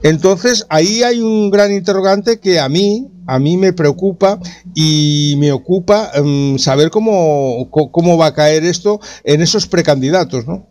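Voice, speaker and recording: A man's voice: a little high, measured pace, darkish tone. A man from Spain with a Spanish accent, hosting a sports talk radio show with many hesitations and restarts. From Catalonia, Spain.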